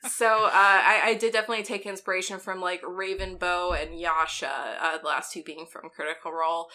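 A young woman co-hosting a tabletop game podcast in casual chat. She has a somewhat monotone voice and rambles in a relaxed way. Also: on the low side, moderate pace. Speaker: podcast player and co-host.

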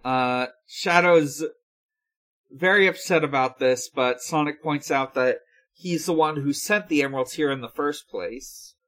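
A man co-hosting a casual recap discussion, explaining things in a halting, hesitant way. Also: a little high, fairly bright tone. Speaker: podcast co-host recapping episodes. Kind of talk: casual episode recap with co-hosts.

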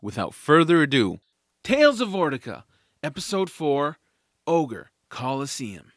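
A man's voice: on the high side, sing-song, unhurried, fairly bright tone, no echo, clean studio sound. A man reading a scripted introduction as narrator of a fantasy audio series, with music behind him. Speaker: narrator and co-creator.

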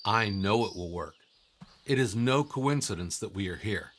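An actor playing a calm, wise and assured guide in an audio drama, instructive and encouraging in manner. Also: male voice, fairly low pitch, moderate pace. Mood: assured, urging. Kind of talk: scripted audio drama acting.

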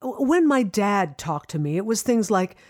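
An actress playing a wry, reflective adult daughter in an audio drama, confiding her story with pauses and sighs. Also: medium pitch, sing-song, lightly acted.

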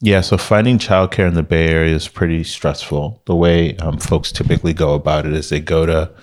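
A man from Miami, Florida, a company founder talking as a guest in a relaxed interview, telling his story with many restarts and fillers. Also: a bass voice, a little fast, slight background noise. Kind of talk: casual interview talk.

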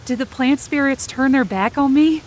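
A woman's voice: high voice, moderate pace, a little hiss or hum. A woman performing scripted first-person fiction narration as a tense, searching inner monologue.

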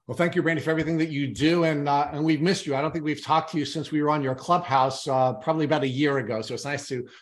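A man's voice: on the high side, fairly even intonation, fast, few pauses. Upbeat male podcast host, echoey room. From Long Island, New York. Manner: friendly, enthusiastic, some ums. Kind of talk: interview hosting. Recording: roomy echo.

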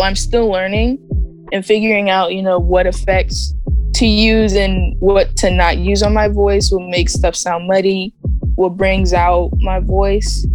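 A woman singer from Maryland with a soft-spoken voice, answering interview questions casually and laughing now and then. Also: medium pitch.

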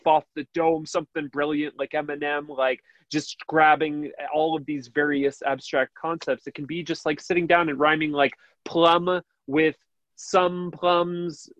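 A man's voice: steady tone, normal speed, a bit bright, no room sound. Nasal-voiced man in rambling chat. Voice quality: high nasal voice. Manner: rambling, many fillers and restarts, laughs easily. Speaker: radio host. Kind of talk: casual interview chat.

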